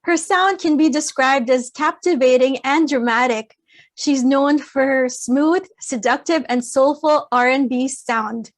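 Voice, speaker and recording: A woman's voice: sits high, measured pace. A woman hosting an interview show, reading a formal introduction and asking polite questions. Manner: formal and polite, reads from a script. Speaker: show host.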